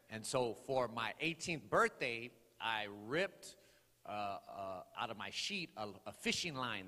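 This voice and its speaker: A man in his forties, from the Los Angeles area, preaching his testimony to a congregation in a church sanctuary, talking loosely with many fillers and rising into loud preaching bursts. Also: mid-range voice. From Los Angeles area, California. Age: 40s. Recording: long hall reverb.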